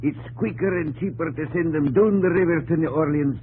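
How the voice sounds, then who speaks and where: An actor playing a blunt, excitable male frontier farmer from the Mississippi country in a 1950s radio drama, emphatic and folksy. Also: fairly high pitch, fairly quick, close to an everyday voice. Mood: urgent. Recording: noisy old 1950s radio recording.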